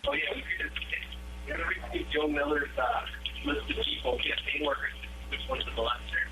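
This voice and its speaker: Male American, offhand chat, higher register with lively intonation, on a noisy phone message. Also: normal speed. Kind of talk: casual conversation. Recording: noisy, humming accidental voicemail recording.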